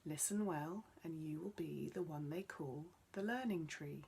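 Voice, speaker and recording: A woman voicing the Sun as a kindly, wise teacher in a children's story, gently instructive. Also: low voice, unhurried, creaky, husky, exaggerated voice. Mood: encouraging.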